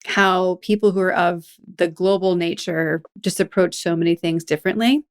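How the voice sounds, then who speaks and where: A woman hosting a podcast interview, talking in a chatty, animated way. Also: fairly low pitch, moderate pace, smooth. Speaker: podcast host. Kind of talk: conversational interview.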